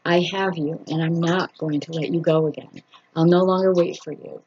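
A woman's voice: low voice, steady tone, normal speed, a little mellow, breathy edge. A woman reading the part of an obsessive, menacing male intruder in a story, possessive and insistent, on a muffled recording. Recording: dull lo-fi sound with faint noise.

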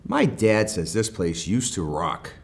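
An eager young snowkid from a children's storybook, acted out by a man reading aloud. The speech has an American accent and very lively intonation, keen and ready to get going. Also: on the low side, unhurried, gravelly, heavily acted. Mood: explaining, eager. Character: eager young snowkids planning and cheering each other on.

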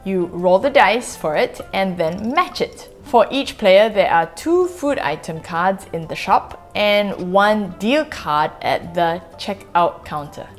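A woman in her forties from Singapore, hosting a family board game channel with clear, measured, upbeat scripted narration recorded in a living room. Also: medium pitch, lively intonation, faint music behind. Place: home living room, indoors.

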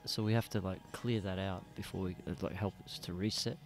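A man's voice: low-pitched, steady tone, fairly quick, soft background music. An Australian man hosting a casual podcast chat in a small studio, talking in a rambling way and swearing freely. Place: small dim studio room. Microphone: studio mic on boom arm, headphones.

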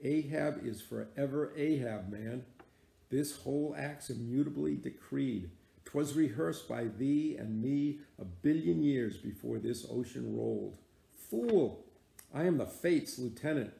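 A grim, obsessed old sea captain with a deep gravelly rasp and a dark, mellow tone, played by a man reading aloud. He commands his crew in archaic, solemn speech, and the voice sits in an echoey room. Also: lively intonation, a little slow. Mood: brooding.

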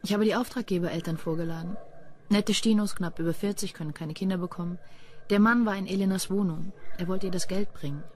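An actress playing a cool, quick-witted policewoman with a dry, sardonic manner in a radio crime drama. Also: medium pitch, fairly even intonation, a little mellow, close to an everyday voice. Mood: wry, brisk.

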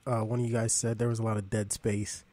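Mellow-voiced man, podcast interviewer. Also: on the low side, fast talker. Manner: relaxed, affirming questions. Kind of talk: casual interview.

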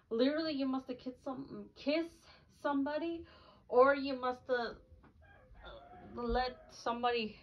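Woman acting a halting, high-voiced flustered girlfriend. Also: a little mellow, natural voice. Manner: halting, repetitive, hesitant. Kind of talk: ASMR girlfriend roleplay. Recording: dull lo-fi audio with faint noise.

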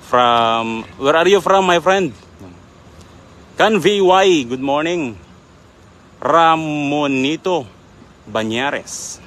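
A chatty young man hosts a live fishing stream by a river, warmly greeting viewers one by one. His voice sits a little high and is picked up by a handheld phone. Faint noise hums behind him. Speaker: livestream host. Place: outdoors by a river.

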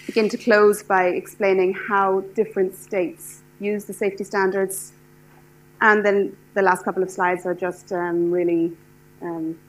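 A middle-aged woman from Ireland with an Irish accent, lecturing a class in a hall, talking fast and eagerly with many fillers and restarts. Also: fairly low pitch, a little hiss or hum. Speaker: lecturer, standards specialist. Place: lecture hall with seated audience.

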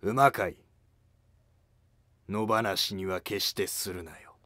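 Scheming ancient court noble, done by a man, low, formal, calculating, dry sound, animated drama. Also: slow, dry recording, exaggerated voice. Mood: sly, commanding. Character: scheming, smooth-talking male court noble of ancient Japan. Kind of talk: historical animated drama acting.

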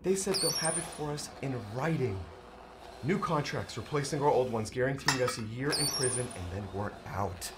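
A man's voice: mid-range voice, lively intonation, normal speed, very noisy, natural voice. An actor playing a resigned, earnest man on the run, pleading with his lover in an audio drama.

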